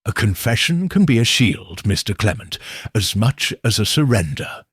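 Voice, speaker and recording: A man voicing a shrewd elderly village spinster, speaking in a gentle, probing, knowing way. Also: on the low side, very animated, moderate pace, husky, no echo, studio quality. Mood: shrewd musing.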